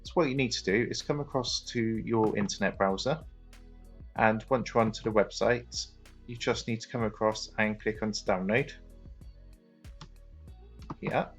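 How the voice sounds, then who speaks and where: A man with a low voice and plenty of fry narrates a step-by-step tutorial. Music plays behind his instructions. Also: steady tone, normal speed, long pauses. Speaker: tutorial presenter.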